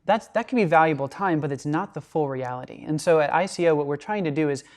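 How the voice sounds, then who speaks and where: A man who leads an outdoor youth program, answering interview questions in an earnest, measured way and often qualifying what he says. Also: fairly high pitch.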